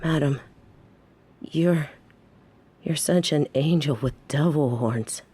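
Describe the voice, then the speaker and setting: A woman's voice: low voice, slow pace, mellow, husky, full character voice. A woman playing a loyal, confident female gangster in an audio drama, speaking with formal courtesy and eager devotion. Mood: admiring, courteous. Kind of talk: scripted audio roleplay acting.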